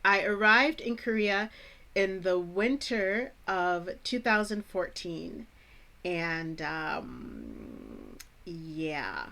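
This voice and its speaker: An American woman from the Chicago suburbs who hosts an English-teaching vlog, speaking in a clear, deliberate teacher's manner with playful asides. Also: on the low side, very animated, pushed and bright. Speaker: English teacher, channel host. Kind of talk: scripted educational vlog.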